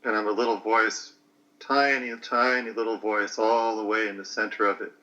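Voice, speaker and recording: A man nearing fifty, talking in a halting, searching way that often trails off mid-thought. His low voice has a sharp, tense brightness. The sound is muffled, like lo-fi stream audio. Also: flat delivery. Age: 40s. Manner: hesitant, searching for words, trailing off. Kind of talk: halting personal reflection.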